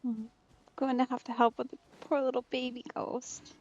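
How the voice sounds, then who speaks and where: A woman's voice: high-pitched, little pitch movement, normal speed, a little mellow, highs cut off. A woman doing casual, wry and understated commentary while streaming a game. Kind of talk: casual let's play commentary.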